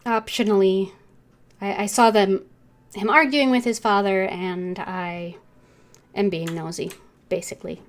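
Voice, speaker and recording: A woman livestreaming a game, chatting casually and thinking aloud in a halting way. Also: medium pitch, fairly even intonation. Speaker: game streamer.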